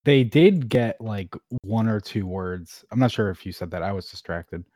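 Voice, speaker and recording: A man's voice: on the low side, lively intonation, moderate pace, a little mellow, no echo, studio quality. A man in a casual group chat who talks hesitantly and draws out his phrases.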